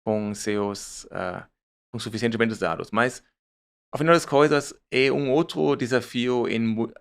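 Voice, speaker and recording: A man's voice: mid-range voice, unhurried, vocal fry, clear, resonant tone. A man with a German accent, a startup founder speaking as a guest, searching for words and restarting his phrases. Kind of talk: conversational interview.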